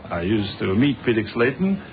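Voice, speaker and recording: A man's voice: on the low side, very animated, normal speed. An actor playing an affable middle-aged ex-policeman in a British radio drama, easygoing and conversational as he reminisces. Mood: reflective. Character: affable ex-policeman turned businessman, old friend. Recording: muffled, narrow-band old radio recording.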